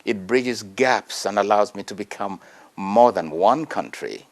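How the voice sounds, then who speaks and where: A man reading a prepared essay aloud in a measured, earnest way. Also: fairly low pitch. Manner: measured, earnest oratory with emphatic rises.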